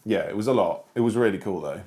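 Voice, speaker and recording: Guy with a lowish voice just chatting, kinda hedging as he goes, informal. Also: animated, quick pace, a little mellow. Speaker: discussion show panelist. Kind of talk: casual chat, book discussion.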